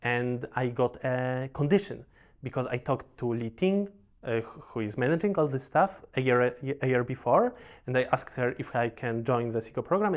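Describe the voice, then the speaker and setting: Polish-accented young man, halting speech. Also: medium pitch. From Poland. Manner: halting, repeats words, frequent fillers. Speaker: professional Go player. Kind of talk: relaxed personal storytelling.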